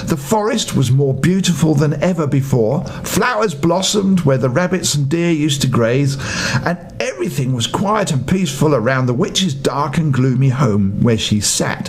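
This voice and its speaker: A man's voice: fairly high pitch, lively intonation, normal speed, a little hiss or hum. An older man reading a children's story aloud as a grandfatherly storyteller, in a theatrical, playful way.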